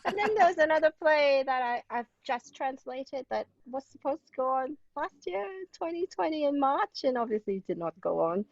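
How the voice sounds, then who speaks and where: A woman's voice: high voice, animated, moderate pace, gravelly, few pauses, no echo, highs cut off. A woman from Hong Kong chatting casually as an interview guest, her talk full of "like" and "you know". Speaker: interview guest, playwright and translator. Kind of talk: casual conversation.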